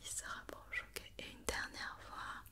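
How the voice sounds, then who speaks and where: A woman whispering an ASMR roleplay as a doctor giving an examination, with soft, reassuring and encouraging step-by-step instructions. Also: unhurried. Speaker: ASMR performer playing an occupational doctor examining a robot.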